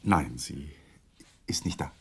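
An actor playing a brooding, guilt-ridden married lawyer in a German radio drama, courteous and evasive, sometimes dropping into a murmur. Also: male voice, extremely low pitch, slow, vocal fry, a little mellow, breathy edge, heavily acted. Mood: uneasy, evasive. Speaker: actor in crime radio play. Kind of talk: German radio drama acting with inner monologue.

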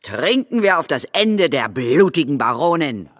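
A woman playing a wryly teasing older lady ghost hunter in a children's audio drama, dry yet animated. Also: low-pitched, a little slow, dry recording, full character voice.

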